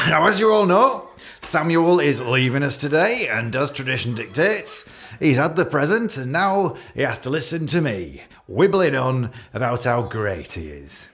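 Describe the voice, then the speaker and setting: A man's voice: medium pitch, big pitch swings, unhurried, a bit bright. A man playing an awkward, catty office boss with a British accent, giving a hesitant, rambling, jokey leaving speech in a radio play. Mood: awkwardly jovial. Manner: hesitant, repetitive, jokey with barbed asides.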